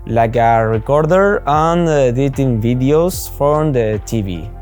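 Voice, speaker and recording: A man's voice: medium pitch, animated, slow pace, darkish tone, soft background music. A young man from Spain, speaking English with a Spanish accent in a plain, matter-of-fact way. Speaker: volunteer video editor. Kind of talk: interview testimonial.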